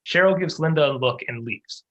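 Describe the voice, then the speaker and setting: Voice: medium pitch, a little fast, dull audio. A narrator reading screenplay stage directions in a scripted style.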